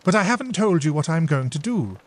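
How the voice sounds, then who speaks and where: An impetuous, playful younger sister, as a male narrator voices her, eager and coaxing, with a girlish, raised voice. Her pitch swings around a lot as she pleads and plots. She is a storybook character read aloud. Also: very animated, a little fast.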